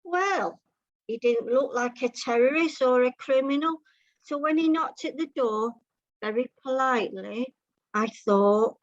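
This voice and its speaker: A woman's voice: high-pitched, a little slow, no room sound. A woman reading a comic short story over a video call. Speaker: story reader. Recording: muffled, dull online meeting audio.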